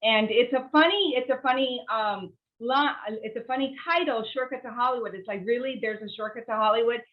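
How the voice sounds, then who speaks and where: A woman's voice: on the high side, a little fast. Chatty Venezuelan-born woman guest, muffled. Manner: warm, chatty, with hesitant fillers. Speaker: guest, actress and producer. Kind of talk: interview conversation.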